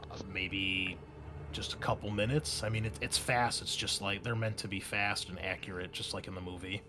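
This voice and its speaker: A man's voice: on the low side, steady tone, normal speed, background noise. A man running a tabletop roleplaying game, narrating scenes and explaining rules to players in a casual, informal way.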